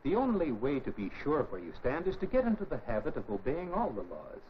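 An actor playing a firm, fair-minded middle-aged American mentor in a 1950s educational film, with a measured, lecturing manner. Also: male voice, medium pitch, wildly swooping pitch. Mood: stern, lecturing. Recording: muffled, noisy old 1950s film soundtrack.

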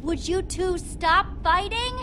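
Young adventurer heroine, video game: high-pitched, earnest, plucky peacemaker. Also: female voice, slow, fairly bright tone, a little hiss or hum, exaggerated voice. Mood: earnest, urgent. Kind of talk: animated video game acting.

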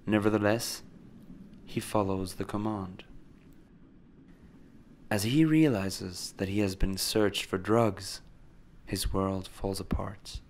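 A man narrating a scripted story in a measured, solemn way. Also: on the low side, darkish tone. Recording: faint noise and ambience behind.

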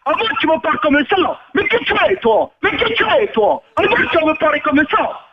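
A man in a heated argument over the telephone, emphatic and repetitive, quick to anger. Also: extremely high pitch, sing-song. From Corsica, France. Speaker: prank call victim. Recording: dull lo-fi phone line.

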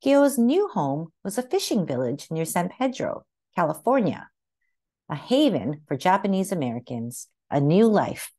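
A woman reading a children's picture book aloud as narrator, with expressive, carefully phrased storytelling. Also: fairly low pitch, moderate pace.

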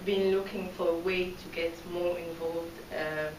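A woman's voice: fairly low pitch, steady tone, unhurried, echoey room, slight background noise. A woman in her twenties from Cameroon, answering casting questions earnestly, with some fillers and restarts, standing in a meeting room and recorded by a far camera. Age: 20s. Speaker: pageant contestant. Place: meeting room with a red wall.

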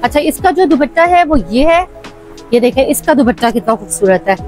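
A high-voiced woman in her thirties with a Pakistani Urdu accent talks chattily on a lapel mic in a busy fabric shop. She is eager to see every colour. Faint music plays behind her. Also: animated, brisk, darkish tone. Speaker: vlogger. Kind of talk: shopping vlog chat with shopkeeper. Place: crowded fabric shop in a market. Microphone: clip-on lapel mic.

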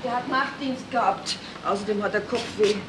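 An actress playing a brisk, bossy wife and mother with a Bavarian accent in a radio crime play. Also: mid-range voice, close to an everyday voice. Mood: scolding. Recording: 1970s radio play, faint noise.

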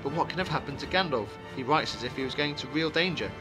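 A man's voice: a little high, animated, quick pace, close to an everyday voice. A man voicing an earnest, polite hobbit traveller in a fantasy audiobook, direct and pressing in manner. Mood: anxious. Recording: music behind the voice.

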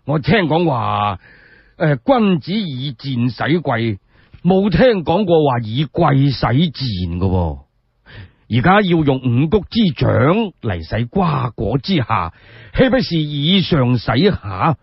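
A man's voice: mid-range voice, very animated, takes it slowly, slightly rough, no room sound, lo-fi sound, heavily acted. A man with a Cantonese accent voicing a dignified, pedantic old male sage in a radio story, hesitant and self-justifying. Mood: earnest, pedantic.

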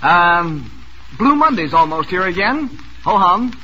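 A man with an American accent hosts a vintage radio quiz in a very bright, forceful voice. His patter is chatty and jovial. The old broadcast recording has faint noise. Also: high voice, big pitch swings, measured pace, lo-fi sound. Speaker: radio quiz show host. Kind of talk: 1940s radio quiz hosting. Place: radio studio.

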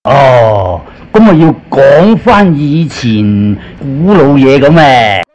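A man's voice: medium pitch, very animated, slow, darkish tone. An older man with a Cantonese accent, casually reminiscing in an interview as a chatty storyteller. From Guangzhou, China. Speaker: interviewee, veteran actor.

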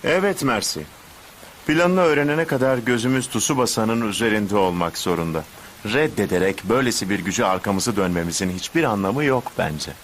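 A man's voice: lowish voice, moderate pace, fairly bright tone, a little hiss or hum, close to an everyday voice. A man playing a scheming, fussy villain boss in a cartoon dub, with a theatrical, commanding manner. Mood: smug, calculating. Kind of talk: Turkish cartoon dub acting.

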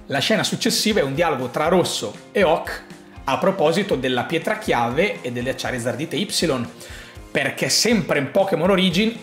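A man gives an animated, emphatic explainer monologue in a conversational storytelling way. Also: medium pitch, echoey room. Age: 30s. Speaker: video host and commentator. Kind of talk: enthusiastic explainer monologue to camera. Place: small furnished room at home, indoors.

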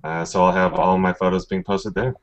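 A man's voice: deep voice, steady tone, brisk, dull audio. A man in his thirties from Texas, a photographer guest chatting on a webcam call in an easygoing way full of fillers. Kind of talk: casual video call chat. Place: room at home. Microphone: webcam video call.

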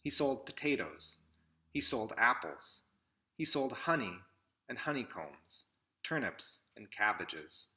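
A man in his thirties reads a storybook aloud in measured short phrases with pauses, recorded indoors at arm's length from the mic. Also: medium pitch, big pitch swings, pushed and bright. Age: 30s. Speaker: picture book reader. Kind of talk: read-aloud storybook narration. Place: small indoor room with classroom wall displays.

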